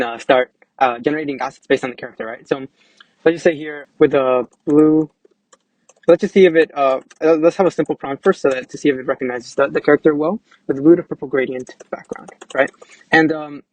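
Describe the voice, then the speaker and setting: Casting: young man, developer walking through a screen demo, quick and informal with halting restarts, close dry sound. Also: fairly high pitch, dry recording. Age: young adult. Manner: halting, hesitant, informal, repeats words. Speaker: app developer presenting a demo. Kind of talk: casual screen-recorded software demo.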